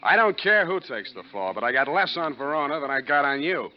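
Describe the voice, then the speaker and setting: An actor playing a tough, wry private detective in a 1940s radio drama, with clipped, sardonic delivery. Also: male voice, fairly high pitch, expressive, fairly quick, background noise, natural voice. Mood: wry, accusing. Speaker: private detective, narrator of the story. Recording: dull 1940s radio recording with music.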